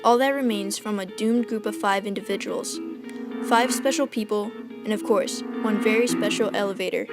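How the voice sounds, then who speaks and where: A woman narrating a scripted spooky story in an ominous, dramatic manner. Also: medium pitch.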